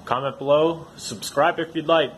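A man's voice: on the high side, moderate pace, a little hiss or hum. A man hosting a do-it-yourself channel, walking through a repair step by step in a plainspoken, practical way. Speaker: DIY repair channel host.

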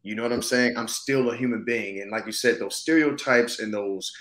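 Low-pitched man, reflective and casual. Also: a little fast, a bit bright. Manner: thoughtful, casual, punctuated with "you know what I'm saying". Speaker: actor, interview guest. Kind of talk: reflective conversational interview. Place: indoor room, video call. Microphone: video call, seated close to the camera.

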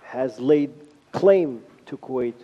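A middle-aged man giving a formal university lecture from a podium, speaking in measured phrases with pauses. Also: medium pitch, mellow. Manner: measured, pausing for interpretation. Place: large lecture hall. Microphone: podium mic. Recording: lo-fi hall recording with long reverb.

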